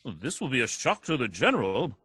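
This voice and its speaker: A man's voice: medium pitch, sing-song, brisk, sharp tone, slightly rough, dry recording, full character voice. An actor playing a deluded, bombastic nephew who believes he is President, declaiming pompously in a radio play. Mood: pompous, grave.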